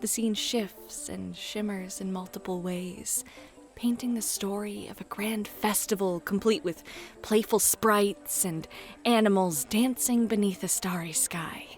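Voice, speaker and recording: A woman playing a playful, flirty club hostess and game master in an ASMR roleplay, bubbly, teasing and expressive. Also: medium pitch, measured pace, natural voice. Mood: enchanting narration. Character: playful, flirty club hostess running tabletop adventure games. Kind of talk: scripted ASMR roleplay, acted. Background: background music.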